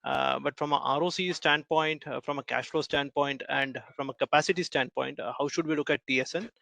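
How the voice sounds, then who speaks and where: A man's voice: a little high, steady tone, a little fast, creaky, sharp tone, no room sound. A man asking polite, multi-part questions as an analyst on a company earnings call.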